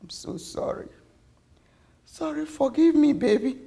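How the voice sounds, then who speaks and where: A woman voicing a loving, weary, emotional mother in a story, with pleading, earnest, drawn-out words. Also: medium pitch, big pitch swings, gravelly, darkish tone. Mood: tearful, pleading.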